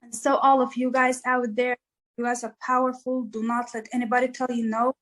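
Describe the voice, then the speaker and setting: A woman's voice: fairly high pitch, level tone, brisk, fairly bright tone, poor audio. A woman from East Africa, a musician guest, answering interview questions in an earnest, motivational way with lots of "like" fillers.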